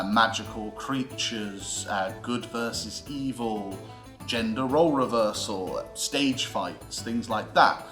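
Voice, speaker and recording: A man's voice: medium pitch, a bit bright. A British man presenting a scripted history lesson in a theatrical, mock-lecturing way, with emphatic delivery and comic asides. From United Kingdom. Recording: roomy echo with music underneath. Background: background music with guitar.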